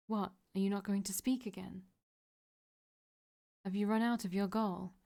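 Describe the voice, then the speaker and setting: A woman's voice: mid-range voice, fairly even intonation, unhurried, creaky, darkish tone. A woman voicing a cruel, disembodied mother spirit who mocks her child coldly. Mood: cold contempt. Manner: cold, contemptuous.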